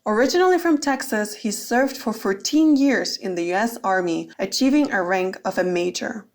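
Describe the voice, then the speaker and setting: A woman's voice: medium pitch, lively intonation, normal speed. A woman reading scripted narration as the narrator of a radio documentary.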